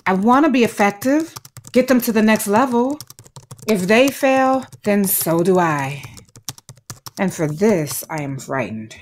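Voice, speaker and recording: A female actor plays a devoted grade-school teacher in a radio play. Her mid-range voice is measured and formal, firm with an anxious edge, over clearly audible noise. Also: medium pitch, animated, close to an everyday voice. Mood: worried. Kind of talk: audio drama acting.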